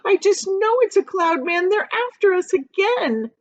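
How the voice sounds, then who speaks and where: A woman's voice: extremely high pitch, big pitch swings, a little slow, no echo, voice pushed up, exaggerated voice. A woman voicing a panicky female spider from a storybook, crying out in alarm with stretched words.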